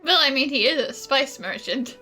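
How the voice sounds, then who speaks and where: A woman's voice: high voice, animated, moderate pace, over music. A woman giving casual, playful commentary as she plays a game. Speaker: gaming streamer reacting to a game.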